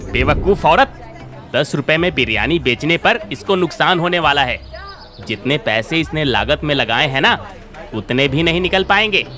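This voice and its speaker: A man's voice: fairly high pitch, expressive, normal speed, sharp tone, faint music behind. A man playing a sly, scornful biryani vendor in a cartoon story, smug and taunting. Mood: scornful gloating. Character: sly, scornful male biryani street vendor who cheats customers. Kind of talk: Hindi cartoon story voice acting.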